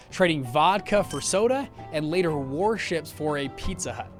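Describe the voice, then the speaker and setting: A young man, a show host, telling a scripted business story with upbeat, jokey asides. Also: on the high side. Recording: light background music.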